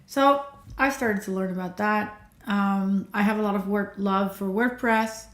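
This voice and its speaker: A woman's voice: medium pitch, measured pace, roomy sound. A woman, an accessibility coach, chatting energetically as a livestream guest over a video call. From Netherlands. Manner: chatty, energetic, self-described nonstop talker.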